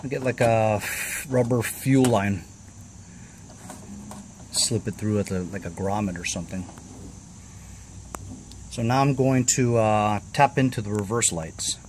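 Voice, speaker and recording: Male DIY host, lowish voice, informal and halting, explaining as he works, with clear background noise and insect chirps. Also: darkish tone. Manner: halting, thinking aloud, often tagging "you know". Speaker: host of a DIY repair vlog. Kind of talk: casual hands-on tutorial narration.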